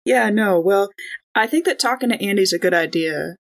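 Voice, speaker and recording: Woman, tabletop player, casual discussion, informal and hedging, mid-pitched voice. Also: animated, moderate pace.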